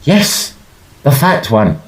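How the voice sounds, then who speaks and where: An actor playing a brisk, opinionated young Victorian Oxford sportsman with a British accent in an audio drama. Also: medium pitch, big pitch swings. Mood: disdainful. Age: young adult. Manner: brisk, gentlemanly, judgmental. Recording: clear background ambience noise.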